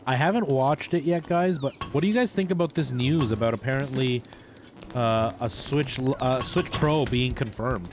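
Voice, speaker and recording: A man chatting casually and a bit haltingly while he streams a game, thinking aloud in an informal way. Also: medium pitch, background noise. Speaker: live streamer. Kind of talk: casual chat while gaming. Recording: music playing behind the voice.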